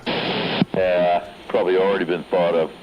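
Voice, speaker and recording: A man's voice: low-pitched, level tone, measured pace. A man chatting in a folksy, amused, casual way over CB radio. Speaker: CB radio operator. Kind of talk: casual chat, storytelling. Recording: noisy CB radio transmission.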